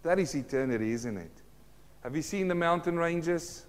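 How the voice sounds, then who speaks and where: A middle-aged man preaches earnestly in a church hall. His is Afrikaans, and his voice sits a little high for a man. The hall's long reverb trails after his words. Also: expressive, normal speed. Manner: earnest, addresses listeners as friends, asks rhetorical questions. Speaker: pastor. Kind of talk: sermon.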